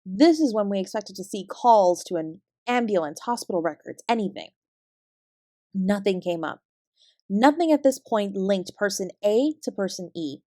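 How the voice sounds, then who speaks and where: A woman with a Canadian accent playing a guarded, weary fraud investigator, giving a clipped, measured account in an audio drama. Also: mid-range voice, expressive, mellow, no echo, clean studio sound, natural voice. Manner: measured, clipped report-like delivery. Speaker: investigator being interviewed.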